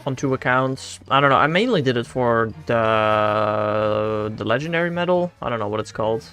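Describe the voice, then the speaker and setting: A man's voice: mid-range voice, normal speed, sharp tone, clear, resonant tone, soft background music. Laid-back Dutch-accented young man streamer. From Netherlands. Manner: laid-back and slangy, talks to himself. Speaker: game streamer. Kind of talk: casual livestream chat, answering chat while playing.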